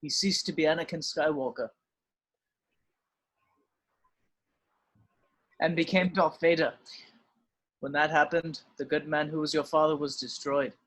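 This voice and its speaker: A man playing the calm, regretful ghost of an old mentor in an amateur script read on a video call. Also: a little high, moderate pace, poor audio. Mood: calm, firm. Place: home room, on a video call.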